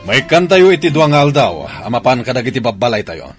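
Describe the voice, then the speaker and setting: Man acting army commander, loud and stern, giving orders, radio drama. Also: medium pitch, big pitch swings, fairly quick, soft background music, natural voice. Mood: commanding. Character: military commander, stern, barking orders to platoon.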